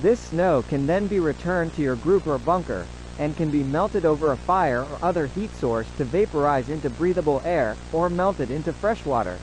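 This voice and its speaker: A man playing a formal, solemn government announcer, reading a scripted emergency broadcast in a measured way. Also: fairly high pitch, lively intonation, darkish tone, slight background noise, close to an everyday voice, rough recording. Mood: grave, resigned.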